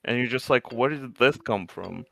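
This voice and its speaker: A man's voice: mid-range voice, moderate pace, gravelly, fairly bright tone, rough recording. A man co-hosting a game playthrough, chatting casually with wry, joking asides and free swearing. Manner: wry, riffing, swears freely. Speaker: co-host commenting on a game playthrough.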